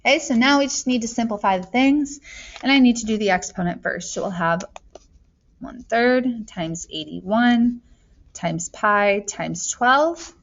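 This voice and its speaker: A woman's voice: on the high side, a little slow, highs cut off. A woman teaching math, talking through worked examples in a casual, chatty way and thinking aloud with joking asides.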